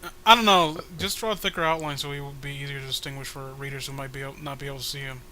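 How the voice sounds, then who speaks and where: A man co-hosting a comic read-along, riffing casually in an exasperated, profane, halting way. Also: mid-range voice, steady tone, bright, forceful tone. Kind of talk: casual comedic riffing and commentary.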